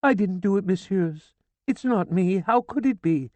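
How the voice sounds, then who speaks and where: A frantic older woman shrieks and begs in a very high, piercing voice, put on by a male audiobook narrator. Her cries swoop wildly up and down, the sound dry and close. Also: animated, normal speed, darkish tone, no echo. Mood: pleading. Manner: shrill, frantic pleading and screaming. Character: hysterical grieving older woman, wailing and shrieking. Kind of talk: audiobook character voice.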